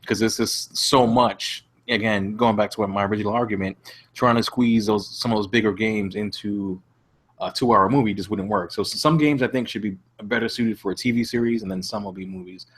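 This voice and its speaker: Low, husky man, thinking aloud. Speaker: podcast panelist. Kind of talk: casual panel chat.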